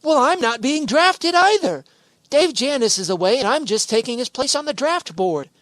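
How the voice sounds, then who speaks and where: Animated, doting radio sitcom husband voiced by a woman. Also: high-pitched, normal speed. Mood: indignant. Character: George Cooper, fussing, doting, bewildered husband. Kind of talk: old-time radio sitcom acting.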